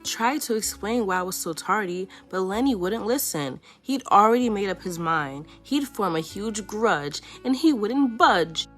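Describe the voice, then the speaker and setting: Give me a woman reading a picture book aloud, playful and expressive, with soft music under her. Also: medium pitch, measured pace, few pauses. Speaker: children's book reader.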